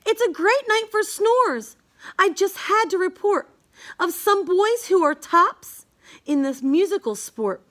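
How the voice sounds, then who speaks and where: Casting: high-voiced woman, unhurried and very animated, for reading rhyming picture books aloud. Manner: sing-song, emphatic storytelling. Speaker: children's book reader. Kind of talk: read-aloud of a rhyming children's book.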